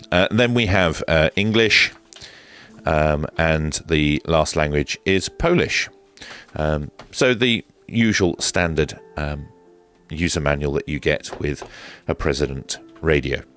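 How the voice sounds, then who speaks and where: A man's voice: very deep voice, a little slow, fairly bright tone, faint music behind. A man presenting a hands-on product review in a casual, hesitant way with dry, understated humour. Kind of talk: casual hands-on unboxing commentary.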